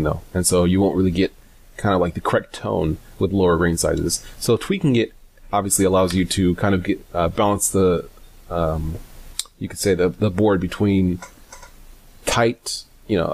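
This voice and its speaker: Low creaky guy doing a casual software walkthrough, kinda hesitant, bit of background hiss. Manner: hesitant, informal, thinking aloud. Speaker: tutorial host. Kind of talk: casual software tutorial narration.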